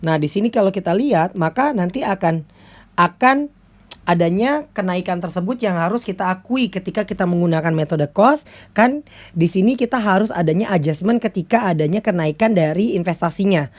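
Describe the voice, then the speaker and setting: Low-pitched Indonesian woman lecturing. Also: a little mellow. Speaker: accounting lecturer. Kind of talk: explanatory lecture, step-by-step walkthrough.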